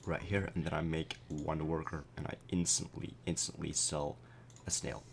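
Young man, deep voice, cracking and worn, casual gameplay talk, filler words. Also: moderate pace, vocal fry, a little hiss or hum. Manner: relaxed, explanatory, many fillers. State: voice cracking after a concert. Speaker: gameplay commentator.

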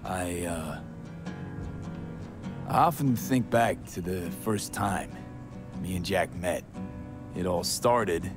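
Very deep, solemn young street mercenary. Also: male voice. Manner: measured, solemn, slangy street talk. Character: grieving young male street mercenary, loyal, plain-spoken, tough. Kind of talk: cinematic video game acting. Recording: music behind the voice. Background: plucked guitar music.

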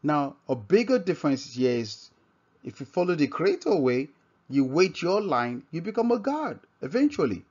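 A man with a Nigerian accent giving an informal scripture lesson as a religious teacher, halting and often repeating and rephrasing himself. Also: on the high side, sing-song, darkish tone. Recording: dull, lo-fi microphone sound.

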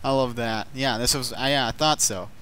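A man's voice: medium pitch, fairly even intonation, moderate pace, fairly bright tone, clean tone. A man in a casual chat about a video game, with sarcastic, foul-mouthed banter.

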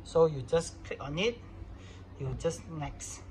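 A man's voice: fairly high pitch, slow, a little mellow, a little hiss or hum. A man narrating an informal hands-on product tutorial in casual, phrasing. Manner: casual non-native English phrasing. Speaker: product demonstrator.

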